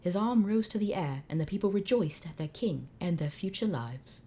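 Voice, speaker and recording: A woman's voice: fairly low pitch, lively intonation, normal speed, warm tone. A young woman reading a fanfiction story aloud, doing character voices and breaking off into exasperated asides. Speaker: fanfiction reader. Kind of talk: dramatic fanfic reading with reactions.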